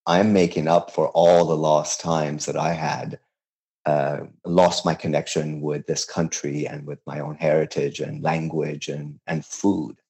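A middle-aged man from Iran, whose first language is Farsi, speaking as an interview guest in an earnest, halting way with many restarts. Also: a bass voice, flat delivery, darkish tone. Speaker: guest, cooking teacher. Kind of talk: conversational interview.

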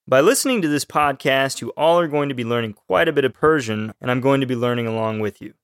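Young texan guy learning along on a podcast, friendly even voice, dry close sound. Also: mid-range voice, fast talker, clear, resonant tone, no room sound. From Texas, USA. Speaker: co-host, learner. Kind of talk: scripted podcast lesson.

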